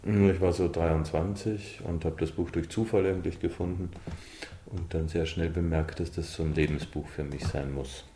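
Deep, mellow man, roomy sound. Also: fairly even intonation, moderate pace, a little hiss or hum. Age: 50s. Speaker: author and director being interviewed. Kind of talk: reflective interview talk. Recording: roomy, reverberant interview sound.

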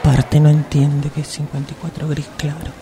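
A woman's voice: low voice, monotone, normal speed, background noise, lightly acted. A woman with a Rioplatense Argentine accent playing a fed-up shopper's grumbling, sweary inner voice in a radio play. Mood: exasperated. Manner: muttering, exasperated, sweary.

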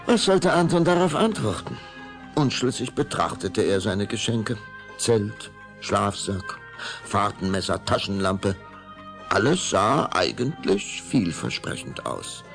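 Male storyteller, creaky voice, very animated intonation, suspenseful pauses, scripted dramatic narration. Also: fairly low pitch, faint music behind.

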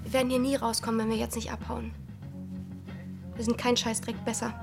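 A woman's voice: on the high side, level tone, brisk, gravelly, music in the background. A young actress playing a restless, brooding village girl in a radio drama, speaking in intense, fragmented phrases. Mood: bitter, desperate.

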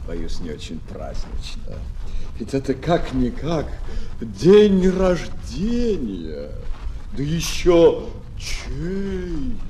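An actor playing a pompous, dithering penguin poet who declaims theatrically in a children's audio play. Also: male voice, on the high side, wildly swooping pitch, drawn-out words, warm tone, heavily acted. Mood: doubtful. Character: fussy, pompous male penguin poet, absorbed in rhyming. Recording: noisy old flexi-disc record.

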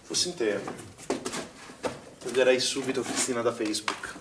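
A man's voice: mid-range voice, steady tone, moderate pace, lightly acted. An actor playing an earnest young male swimming instructor in a stage play, pleading and repeating himself in exasperation. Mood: exasperated, insistent. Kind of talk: naturalistic stage acting in a theatre play. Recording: echoey theatre recording from far off, some noise.

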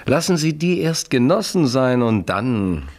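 An actor playing a worldly, gallant French marquis in a German radio play, with an urbane, theatrical manner. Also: male voice, medium pitch, sing-song, a little slow, a little mellow. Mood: teasing, gallant.

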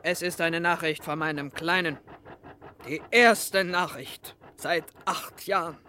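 An actress playing a gruff, vengeful female whaling captain with a brusque, declamatory, poetic manner in a comic radio serial. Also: lowish voice, fairly even intonation, slow, bright, forceful tone, slight background noise. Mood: gruff, solemn.

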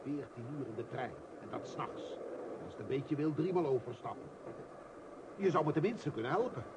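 An actor playing a sarcastic, grumbling husband on a tiresome journey in a radio play. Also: male voice, mid-range voice, animated, brisk, close to an everyday voice. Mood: irritated, sarcastic. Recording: dull old recording, clear noise.

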